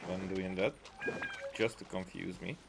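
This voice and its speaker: A man's voice: low-pitched, fairly even intonation, measured pace, creaky, background noise. A man giving casual, off-the-cuff commentary as he plays a video game, muttering his reactions. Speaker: gaming video creator playing and commenting.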